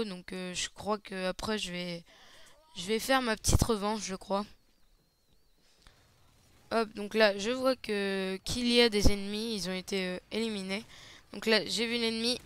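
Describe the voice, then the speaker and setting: A man giving casual, hesitant play-by-play commentary over a shooter match, slightly hoarse, with lots of fillers. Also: sits high, fairly even intonation, a little slow, long pauses, a bit bright, no echo. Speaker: gaming channel host. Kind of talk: gameplay commentary.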